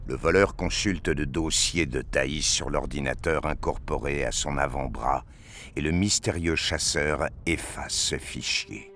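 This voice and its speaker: A man's voice: extremely low pitch, a little slow, fairly bright tone. A man reading scripted narration for a science fiction audio drama.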